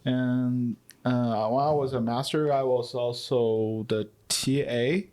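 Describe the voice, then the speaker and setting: A man's voice: medium pitch, steady tone, slow, darkish tone. A man from China, a guest architect, introducing himself with brief, good-humored remarks.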